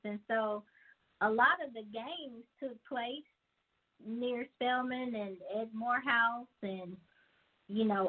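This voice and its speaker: Woman in her 40s, takes her time, kinda high voice, sounds like a phone call. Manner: thoughtful, laughs often. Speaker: guest, actress and musician. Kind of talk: casual interview. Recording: tinny phone-line sound.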